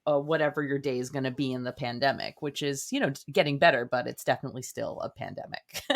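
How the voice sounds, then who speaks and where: A woman's voice: a bass voice, animated, fairly quick. A woman, a writer being interviewed, speaking casually and earnestly in meandering, reflective sentences.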